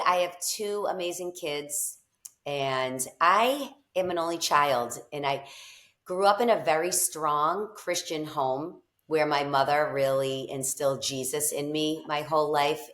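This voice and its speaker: Low-voiced woman with a strong Boston accent. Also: measured pace, fairly bright tone. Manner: bubbly, effusive. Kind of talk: casual interview chat. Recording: echoey room.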